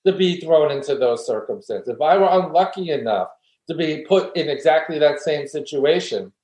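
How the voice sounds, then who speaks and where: A man's voice: a little high, lively intonation, moderate pace, darkish tone. A man, a philosophy guest, earnestly explaining his argument step by step in an interview. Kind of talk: conversational interview, reflective argument. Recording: roomy echo.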